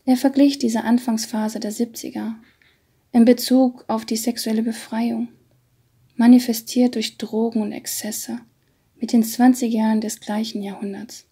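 A woman narrates a literary audiobook in a hushed, half-whispered tone. Her voice is soft and mellow, very breathy, and stays fairly even in pitch. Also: a little slow.

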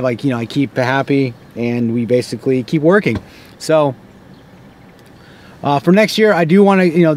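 A man's voice: medium pitch, darkish tone. A man in his thirties with an American accent, talking casually to camera in a rambling, stop-start way, as a contractor vlogging from a car. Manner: rambling, with frequent false starts. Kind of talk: casual unscripted vlog talk. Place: inside a parked car.

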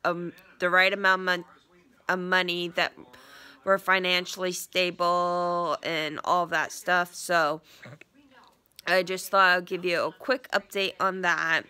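A woman's voice: fairly low pitch, little pitch movement, takes it slowly, pushed and bright, clear, resonant tone. A young woman with a stuffy, congested voice giving a rambling casual vlog update, recorded on a phone at arm's length in a small living room. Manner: rambling, many ums and restarts. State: stuffy, congested from a sinus infection. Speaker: vlogger. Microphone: phone front camera at arm's length.

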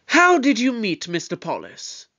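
A man playing a shrewd, authoritative detective in an audio drama, with a pompous, deliberate, probing delivery. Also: very high-pitched, huge pitch swings, bright, forceful tone, highs cut off, heavily acted. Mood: calm, interrogating. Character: shrewd, authoritative male time-travelling detective, pompous and suspicious.

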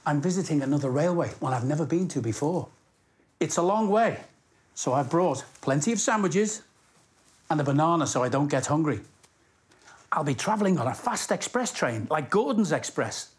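Lively storytime presenter, higher-pitched man. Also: moderate pace. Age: middle-aged. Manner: animated, addressing young viewers directly. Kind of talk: scripted storytime presenting to children.

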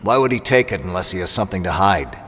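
A man's voice: low-pitched, animated, fast, a bit bright, husky, in a large hall, soft background music, full character voice. An actor playing a roguish, sarcastic assassin mercenary who teases his way through an audio drama. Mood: suspicious. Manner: sarcastic, teasing. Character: sardonic, roguish male assassin mercenary, mock-wounded and teasing.

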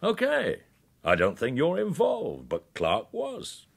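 An actor playing a menacing, sardonic British secret service interrogator in a radio crime drama, speaking in a measured, insinuating way. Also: male voice, a little high, wildly swooping pitch, vocal fry, breathy edge, full character voice.

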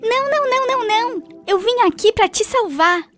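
A woman voicing a kind young male baby shark in a children's cartoon, speaking softly and reassuringly. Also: very high-pitched, expressive, a little fast, faint music behind. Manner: soft, reassuring.

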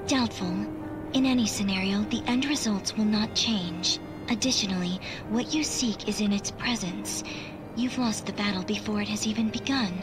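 A woman's voice: medium pitch, normal speed, lightly acted. A woman playing a cold, hostile girl in a video game, speaking in a stern, formal, clipped and detached way. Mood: dismissive. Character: cold, aloof, hostile girl with machine-like precise speech. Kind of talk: video game cutscene acting. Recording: loud music behind. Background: loud game soundtrack.

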